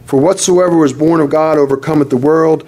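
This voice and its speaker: A man preaching to a congregation and praying aloud fervently, calling on the Lord again and again and reading scripture slowly. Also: fairly high pitch, fairly even intonation, dark tone. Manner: fervent, repeats "Lord" often; reads scripture slowly and deliberately. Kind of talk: sermon and spoken prayer. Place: church service with congregation responding.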